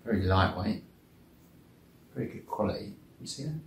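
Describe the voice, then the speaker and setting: A man's voice: lowish voice, expressive, moderate pace, gravelly. A man giving a chatty, informal product commentary as he unboxes and reviews gear. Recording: roomy indoor sound, faint noise.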